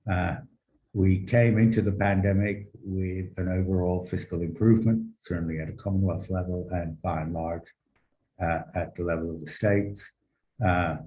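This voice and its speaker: A man giving formal policy remarks as a panel speaker, measured and deliberate with long halting pauses and erudite phrasing. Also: fairly low pitch, mellow. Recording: roomy echo with some background noise.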